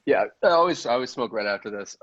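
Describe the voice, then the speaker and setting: A man's voice: medium pitch, expressive, fast talker, a bit bright, highs cut off. A man in casual, rambling conversation, swearing now and then as he talks. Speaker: podcast cast member. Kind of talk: casual chat.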